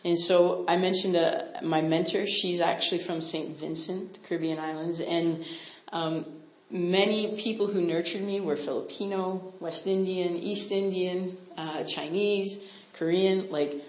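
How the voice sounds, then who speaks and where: A woman's voice: on the low side, steady tone, a little slow, hardly any pauses. Roomy-sounding woman teaching conversationally. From Canada. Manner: conversational, chatty, with frequent "like" and "right?" asides. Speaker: missionary speaker. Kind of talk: casual Bible teaching talk with audience questions. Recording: roomy echo.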